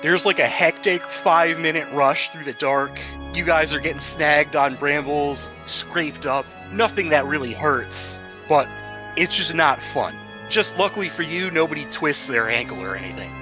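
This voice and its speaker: Higher-pitched man as tabletop game master. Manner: casual, descriptive, halting phrasing. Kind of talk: improvised narration of a tabletop fantasy game. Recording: music behind the voice. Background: background music.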